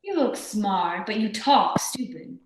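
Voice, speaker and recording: A woman playing a tough, sharp-tongued femme fatale in an old-time radio detective play, curt and sardonic. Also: mid-range voice, lively intonation, takes it slowly. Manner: curt, hard-boiled, sardonic. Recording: echoey room sound.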